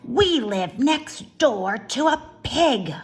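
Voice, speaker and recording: A woman voicing a haughty, bossy older sister from a storybook, speaking in a pompous, deliberate, emphatic way. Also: high-pitched, animated, pitched above the performer's own voice, exaggerated voice. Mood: haughty, commanding.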